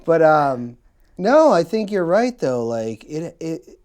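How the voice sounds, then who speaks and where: Lively-pitched chatty male host. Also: takes it slowly. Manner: chatty, rambling with many fillers and restarts. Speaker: podcast host. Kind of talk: casual interview chat.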